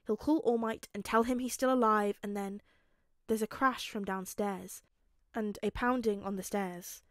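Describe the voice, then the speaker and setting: A woman reading fiction aloud as narrator, expressive and dramatic, with emphatic pauses. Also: mid-range voice, dry recording, studio quality. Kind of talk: expressive scripted story narration.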